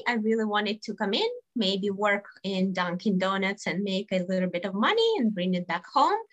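Podcast guest: woman in her thirties, bright, earnest storyteller. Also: medium pitch, poor audio. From Belarus. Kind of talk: casual interview chat.

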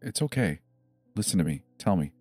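Man as a calm, insistent emergency dispatcher. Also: low-pitched, brisk, no room sound, soft background music, voice pushed down. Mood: soothing. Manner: reassuring, methodical, probing with short questions. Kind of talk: dramatized horror story narration.

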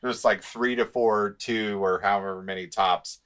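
A man's voice: on the low side, steady tone, moderate pace, a bit bright, clean tone. A man co-hosting a podcast who chats loosely and swears casually. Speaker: podcast co-host.

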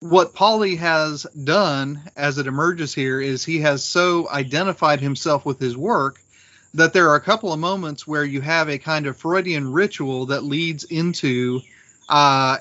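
A man discussing literature as a podcast panelist in a scholarly, deliberate, explanatory way. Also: fairly high pitch, sharp tone, highs cut off.

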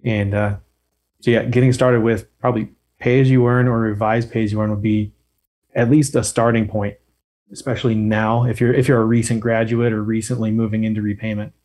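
A man's voice: lowish voice, little pitch movement, brisk, darkish tone. A man talking as a podcast guest, explaining things in a chatty, lightly joking way. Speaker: guest expert on loans. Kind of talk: casual podcast chat.

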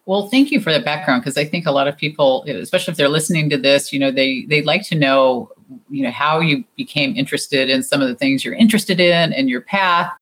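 Low-voiced woman hosting a podcast. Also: a little fast, fairly bright tone. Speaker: podcast host. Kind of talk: interview podcast.

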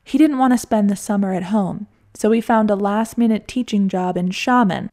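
A woman tells her own story to her listeners in a wry, reflective tone, poking fun at herself. Her voice is mellow and dark, with a gravelly fry running through it at an ordinary pitch. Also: moderate pace. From United States. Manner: wry, reflective, self-deprecating. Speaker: podcast narrator. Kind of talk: scripted personal-story narration.

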